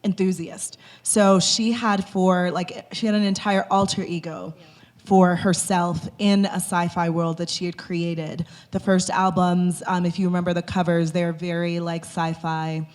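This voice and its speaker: A woman on a live panel, speaking in a relaxed, conversational way with frequent "like" and "you know". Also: on the low side, unhurried. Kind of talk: panel discussion.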